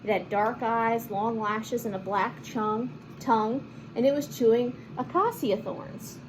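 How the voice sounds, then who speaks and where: A woman reading a picture book aloud to children in an expressive, animated manner, with chatty asides and simple explanations. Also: on the high side, a little slow, background noise. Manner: animated, acts out lines and explains words to children. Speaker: children's read-aloud host.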